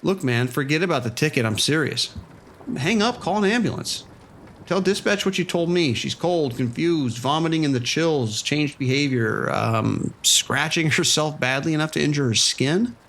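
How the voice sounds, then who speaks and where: An actor playing a weary, level-headed police sergeant who talks calmly and informally, with some hesitant restarts. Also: male voice, on the high side, moderate pace, a little hiss or hum. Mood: urgent. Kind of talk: audio drama acting.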